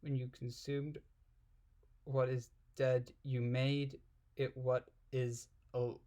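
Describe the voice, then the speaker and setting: A man's voice: medium pitch, flat delivery, slow pace, dark tone. A man reading aloud and commenting casually in an irreverent, giggly, puzzled manner. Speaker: amateur reader and commentator.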